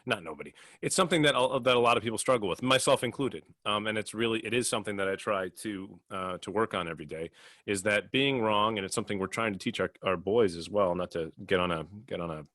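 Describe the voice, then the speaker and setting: A man with a fairly low voice speaks as a podcast panelist. His delivery is earnest and reflective, circling back on phrases as he goes, in a dry recording with little echo. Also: a bit bright. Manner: earnest, halting with repeated phrases. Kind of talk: casual, reflective discussion.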